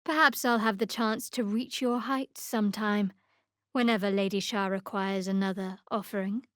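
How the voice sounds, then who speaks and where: An actress playing a guarded, devout young woman cleric of a dark goddess in a fantasy video game, with a measured, wry delivery. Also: medium pitch, steady tone, clear, resonant tone, dry recording, clean studio sound, close to an everyday voice. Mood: composed, wry.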